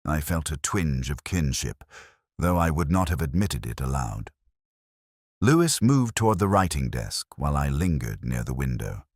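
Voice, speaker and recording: A man with a very low, bass voice reads a detective story in the first person. His delivery is measured and reflective, with lively rises and falls across each sentence. The sound is close and dry, suited to literary mystery narration. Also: no echo.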